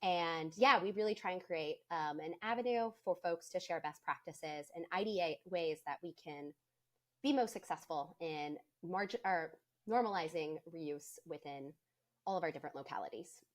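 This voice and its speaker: A woman's voice: on the low side, moderate pace. A woman introducing herself as a panelist, speaking earnestly and in a friendly way in long, jargon-filled sentences. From United States. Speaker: panelist, policy officer at a nonprofit.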